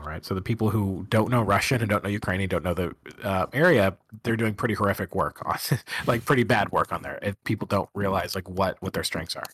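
A man on an online research panel, talking fast and loosely with many fillers. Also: low-pitched, a bit bright, breathy edge, no room sound, studio quality. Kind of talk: casual online talk.

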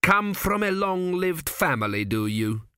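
Guy doing angry old mummy king for an audiobook, growly, slow, sneering, dry close sound. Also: mid-range voice, very animated, fairly bright tone, no room sound, heavily acted. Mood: sarcastic. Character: ancient mummified king, bandaged, angry, sarcastic, accusing. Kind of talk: audiobook character voice.

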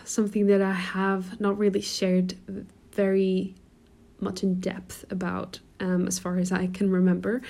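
A woman in her twenties from Germany who grew up in Portugal, speaking with an American-sounding accent. She hosts a solo podcast in a soft, chatty way with many ums, recorded on a phone in a quiet room at home. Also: mid-range voice, creaky. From Germany, raised in Portugal. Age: 20s. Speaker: podcast host and coach. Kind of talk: casual solo podcast talk.

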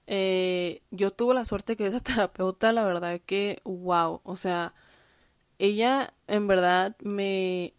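A woman's voice: mid-range voice, no echo. A young woman, talking openly in a casual podcast monologue and often correcting herself mid-sentence. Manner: candid, chatty, with frequent fillers and self-corrections. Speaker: podcast host. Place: lives in the United States.

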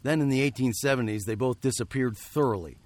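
Weird-western radio lead: actor as a male fugitive, earnest, wary and lovelorn, medium pitch, dry studio sound. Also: fast talker, no echo, lightly acted. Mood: somber, doubtful. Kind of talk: radio drama acting with narration.

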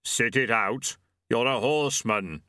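A man voicing Death, a solemn and commanding horseman of the apocalypse, in a slow, weighty, formal manner for a storybook. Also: mid-range voice, pushed and bright, dry recording, clean studio sound. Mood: solemn, insistent.

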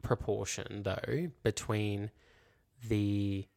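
A man's voice: lowish voice, steady tone, very slow, vocal fry, a little mellow. A man co-hosting a casual, reflective chat, explaining things in a hedging way full of filler phrases. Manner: hedging, explanatory, frequent 'you know' and 'like'. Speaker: podcast co-host.

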